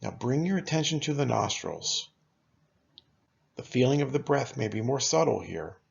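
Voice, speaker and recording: A man leading a guided meditation as a teacher, speaking in a calm, unhurried, instructional way with long pauses. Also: mid-range voice, vocal fry, husky, dull audio.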